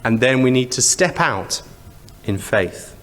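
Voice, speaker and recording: A man's voice: medium pitch, lively intonation, a little slow, fairly bright tone. A man with a Zimbabwean accent preaching a sermon in a conversational, explanatory way, with rhetorical questions and repeated phrases. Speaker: church preacher.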